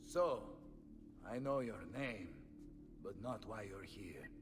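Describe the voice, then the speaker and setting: An actor voicing a boisterous, fierce middle-aged mercenary leader, bellowing and swaggering in a game. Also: male voice, expressive, slow, big-room sound, over music. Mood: hearty. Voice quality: gravelly, booming. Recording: game audio with ambient noise underneath.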